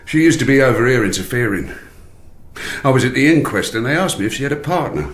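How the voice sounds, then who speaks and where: A man's voice: medium pitch, expressive, fast, lightly acted. An actor playing a gossipy, morbid British male neighbour in a radio drama, rambling with insinuating asides. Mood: confiding, gossipy. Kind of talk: naturalistic radio drama acting.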